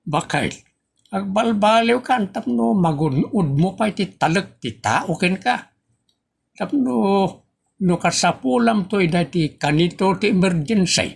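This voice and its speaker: Male actor as admonishing husband and father, northern Philippine accent, slow delivery, creaky voice, comedy audio drama. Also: sits high, animated, exaggerated voice. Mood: scolding. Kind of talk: Ilocano comedy radio drama acting.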